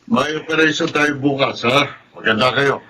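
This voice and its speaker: An actor playing a curt, authoritative army officer with a Filipino accent in a radio drama. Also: male voice, medium pitch, moderate pace, bright, forceful tone, slight background noise, close to an everyday voice. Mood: firm. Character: commanding army officer briefing his soldiers.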